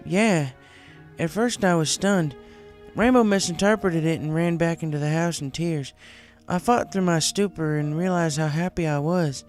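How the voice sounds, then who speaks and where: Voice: mid-range voice, normal speed, a little mellow, faint music behind, pitched above the performer's own voice, close to an everyday voice. A performer voicing an earnest, troubled young female farm pony in a story reading. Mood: confessing, tender.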